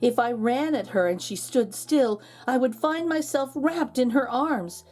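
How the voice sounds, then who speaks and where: A woman narrating a children's storybook aloud as a read-aloud host, expressive and drawing out key words. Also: sits high, a little slow, soft background music.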